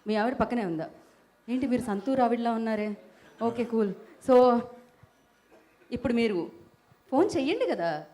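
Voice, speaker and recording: A woman's voice: a little high, lively intonation, normal speed, pauses often, dark tone. A woman hosting a live film event, chatty and full of teasing, playful banter. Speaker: event anchor.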